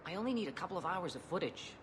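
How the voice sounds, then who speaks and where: Game documentary maker: persuasive, eager, rapid, lively intonation. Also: male voice, high-pitched, in a large hall, background noise. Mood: pleading. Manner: persuasive, earnest. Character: eager, pleading male documentary filmmaker. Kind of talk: video game acting.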